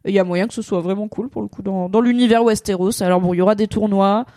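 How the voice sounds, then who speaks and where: A woman's voice: medium pitch, fairly even intonation, fast, dark tone, no echo, clean studio sound. A woman hosting a casual pop-culture chat, talking at length and explaining eagerly with lots of fillers. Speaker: podcast host.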